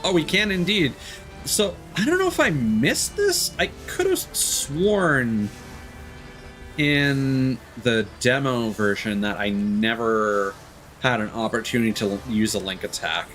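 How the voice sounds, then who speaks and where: Guy streaming a game, thinking out loud, pitch jumps around a lot, game music playing behind him. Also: expressive, slow pace. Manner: rambling, thinking aloud. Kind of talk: casual live gameplay commentary.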